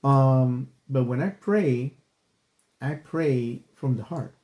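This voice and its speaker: A man chatting earnestly on a podcast in a mellow, warm-toned voice, drifting from point to point and padding with "you know." His voice rings in an echoey room, picked up on a desk microphone. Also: mid-range voice, takes it slowly. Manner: earnest, rambling, repeats "you know" and "stuff like that". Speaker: podcast co-host. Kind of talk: casual chat.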